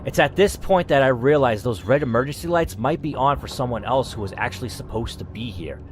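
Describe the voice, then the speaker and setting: A man hosting a dramatic story reading, who reacts out loud and swears as he weighs each choice. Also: mid-range voice, lively intonation, fairly quick. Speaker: host reading an interactive story.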